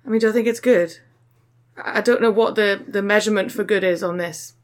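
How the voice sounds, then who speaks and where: A woman's voice: medium pitch, steady tone, brisk. A woman hosting a podcast, joking her way through card art in casual chat. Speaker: podcast host.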